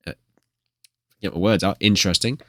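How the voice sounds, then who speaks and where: A man with a slightly croaky voice from a cold, giving hesitant, rambling let's play commentary as a gaming video host. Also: lowish voice, normal speed, fairly bright tone, no echo, clean studio sound. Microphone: desktop USB microphone.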